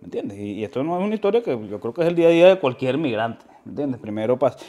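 A man's voice: mid-range voice, sing-song, very fast, dark tone. A young man from Venezuela with a Venezuelan accent, a guest telling slangy stories with many restarts, on a sofa with a lapel mic. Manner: slangy, storytelling with quoted voices, frequent restarts. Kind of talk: casual interview. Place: living room, on a sofa.

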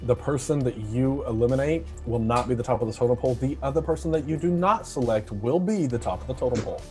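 A man hosting an online elimination game, announcing the rules in a steady, formal way over a video call. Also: mid-range voice, quick pace, music in the background. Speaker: game host.

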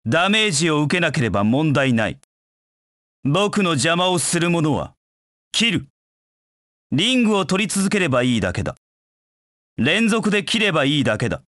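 An actor voicing a proud, cold dark knight in a video game, with curt, haughty, disdainful delivery. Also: male voice, on the high side, no echo, studio quality. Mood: confident, aloof, then forceful, challenging. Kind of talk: video game voice acting in short battle lines.

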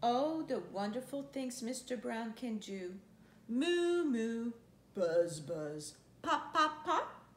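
Animated high-voiced woman reading to kids. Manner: expressive, drawn-out words and imitated noises. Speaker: storyteller reading a children's book. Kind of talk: animated picture-book read-aloud with playful sound effects. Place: living room couch, indoors. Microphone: camera a few feet away. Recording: roomy, echoey sound with faint noise.

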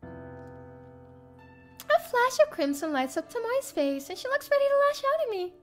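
A young woman streamer reading a visual novel aloud as expressive first-person story narration. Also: extremely high pitch, a little slow. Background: game music.

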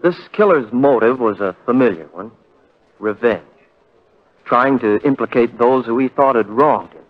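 A man's voice: mid-range voice, normal speed, close to an everyday voice. An actor playing a folksy, rueful condemned man chattily telling his story in a 1940s radio drama. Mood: rueful, confiding. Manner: chatty, hesitant, aw-shucks. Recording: muffled 1940s radio broadcast.

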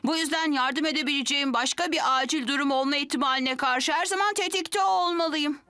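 A woman's voice: high-pitched, a little fast, sharp tone. A woman voicing an eager, proud, earnest little boy who plays at being a firefighter in a children's cartoon. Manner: keen, earnest. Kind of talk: dubbed children's cartoon dialogue. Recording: music under the dialogue.

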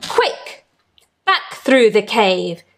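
A woman reading a children's picture book aloud in an expressive, deliberate sing-song chant with drawn-out words. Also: mid-range voice. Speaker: storytime reader for children.

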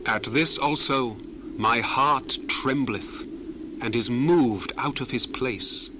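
Solemn actor as an earnest biblical orator. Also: male voice, medium pitch, slow, background noise, close to an everyday voice. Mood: hushed, awed. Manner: stately, emphatic, archaic scripture phrasing. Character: earnest biblical orator proclaiming God's greatness. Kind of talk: dramatized Bible reading, declamatory and solemn.

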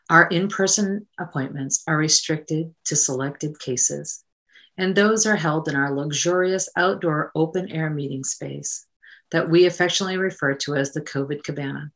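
A middle-aged woman hosting in a measured, formal way, as if presenting from a script. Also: low-pitched, fairly bright tone. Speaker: host, counsellor.

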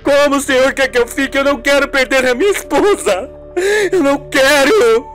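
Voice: high voice, normal speed, a bit bright, faint music behind, voice pushed up. A performer voicing a distraught, pleading young husband in a radio soap opera. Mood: desperate.

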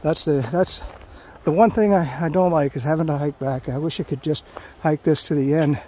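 A man's voice: fairly high pitch, moderate pace, mellow, slight background noise. Rambling man hiking a forest trail. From Florida. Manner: rambling, folksy, many fillers and restarts. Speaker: hiking vlogger. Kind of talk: casual hiking commentary.

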